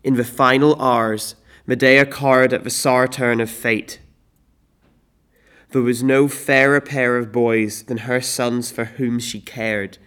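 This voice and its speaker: A man playing a solemn storyteller, giving deliberate scripted narration of a Greek tragedy. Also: medium pitch, fairly even intonation, fairly bright tone, clear, resonant tone.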